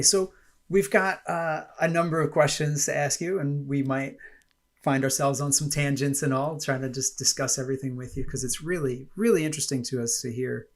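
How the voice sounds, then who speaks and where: A middle-aged man hosting a podcast interview, chatty and rambling, laughing often. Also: medium pitch. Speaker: podcast host. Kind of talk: casual interview chat.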